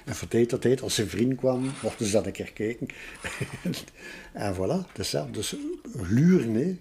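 A man's voice: mid-range voice, expressive, moderate pace, gravelly, dark tone, slight background noise. An older man with a Flemish accent chatting casually as an interviewee, explaining and telling stories with repeated little tags. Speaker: interviewee, art collector. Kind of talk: casual conversational storytelling. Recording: roomy echo with music behind. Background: music.

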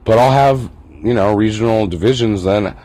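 A man's voice: on the low side, normal speed, mellow, low-quality recording. A man vlogging in a meandering, profane, self-mocking monologue. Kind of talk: rambling casual monologue.

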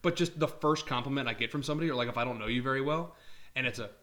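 A young man chatting on a podcast in a quick, rambling way. His voice is bright and forward, and he keeps joking at his own expense. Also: mid-range voice. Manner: joking, rambling, laughs at himself. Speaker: podcast co-host. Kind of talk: casual chat.

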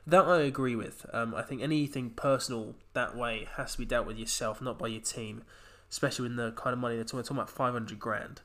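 A man's voice: medium pitch, steady tone, fast, hardly any pauses, a bit bright. Young man, podcast host, has a cold, chatty. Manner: chatty, reads out quotes in a punchy voice. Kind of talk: casual chat.

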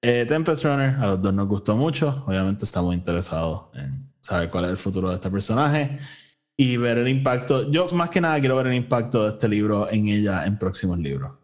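Podcast host, male, slightly deep voice, relaxed bilingual review chat. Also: fairly quick. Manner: mixes English phrases into Spanish.

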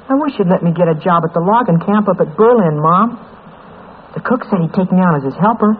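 A performer playing an earnest, stubborn, protective young schoolboy with a grumbling streak in an old American radio drama. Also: female voice, fairly low pitch, fairly quick, husky. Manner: plain-spoken, stubborn, grumbling. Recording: tinny old radio transcription.

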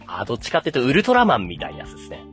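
A man's voice: mid-range voice, huge pitch swings, very fast, fairly bright tone, soft background music. A man in his thirties casually chatting and explaining as a podcast host.

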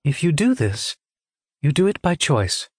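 A man's voice: fairly high pitch, sing-song, measured pace, husky, dry recording, studio quality, voice pushed up, full character voice. A man voicing a cool, unyielding female official in a narrated story, formal, firm and detached. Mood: cool insistence.

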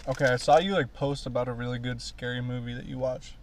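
A young man with a mid-range voice tosses off short, easygoing remarks from a parked car. He keeps a joking, relaxed manner, and a faint noise floor runs behind him. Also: mid-range voice, quick pace. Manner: laid-back, short joking replies. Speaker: podcast co-host. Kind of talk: casual chat.

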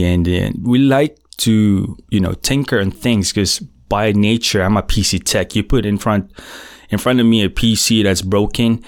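A middle-aged Filipino man whose first language is Tagalog talks easily through a casual solo podcast, full of slang and fillers. His voice sits low and comes across smooth and clean. The sound is dry and close, with no room around it. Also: no echo. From Philippines. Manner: relaxed and chatty, with slang and many fillers. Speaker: podcast host.

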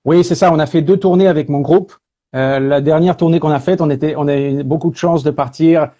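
A man from France talking casually as an interview guest, relaxed and full of hesitation fillers. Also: on the high side, a little fast, muffled recording. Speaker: guest, speaker and singer.

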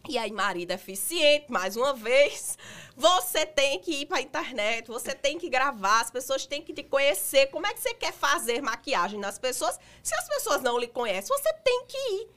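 A woman in her thirties with a Northeastern Brazilian accent, slightly hoarse today, telling her story as a guest in a podcast interview. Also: sits high, moderate pace. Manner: lively, chatty storytelling with laughter. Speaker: guest, makeup artist.

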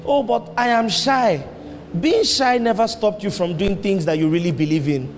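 A man preaching a sermon as pastor, emphatic, his voice jumping up into high exclamations. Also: normal speed, faint music behind. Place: church hall. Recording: long hall reverb, faint noise.

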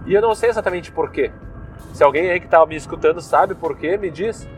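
Young gaúcho guy talking in his car, kinda high voice, slangy loose ramble, faint music behind. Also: a little fast. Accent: Gaúcho Brazilian Portuguese. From southern Brazil. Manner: loose slangy talk, many fillers. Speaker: podcast host. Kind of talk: casual rambling monologue. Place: inside a car.

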